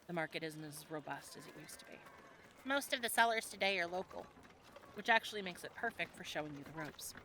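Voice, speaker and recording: Woman playing friendly teasing fantasy tavern gal, bright voice, chatty guide to a newcomer, audio rp with background ambience. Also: on the low side, animated, a little fast, a little hiss or hum. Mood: guiding. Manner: conversational, gently instructive. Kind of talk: audio roleplay acting, adventure ASMR.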